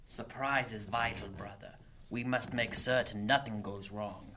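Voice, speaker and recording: A man playing a sinister, secretive priest in a fantasy audio drama, speaking in a hushed, conspiratorial way. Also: fairly low pitch, fairly even intonation, unhurried, background noise, lightly acted.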